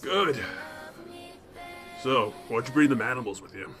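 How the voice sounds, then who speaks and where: A man's voice: medium pitch, lively intonation, measured pace, over music, close to an everyday voice. A man voicing a brash, glad-handing gangster underling in a motion comic. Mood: uneasy.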